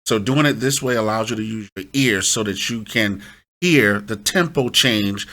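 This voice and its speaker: A man's voice: lowish voice, normal speed, pushed and bright. A man hosting an informal step-by-step tutorial, instructing and often checking in with short tag questions. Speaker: host of a music production tutorial.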